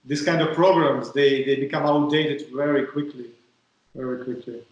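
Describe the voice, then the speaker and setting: A man's voice: medium pitch, steady tone, moderate pace, heavy reverb, dull audio. A man from Russia with a Russian accent, hosting an interview over a call, hesitant and repeating words as he searches for phrasing. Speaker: podcast host.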